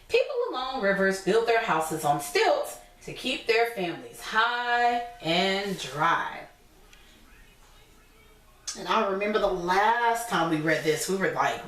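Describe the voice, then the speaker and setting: A woman teacher reading a picture book aloud to young children, pausing to explain things simply in her own words. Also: mid-range voice, fairly bright tone. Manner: instructive, repeats and explains points simply. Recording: echoey room, distant from mic.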